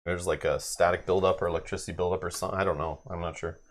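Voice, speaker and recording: A man's voice: low-pitched, flat delivery, very fast. A man hosting a live board game stream in casual chat, explaining things at length in a conversational way.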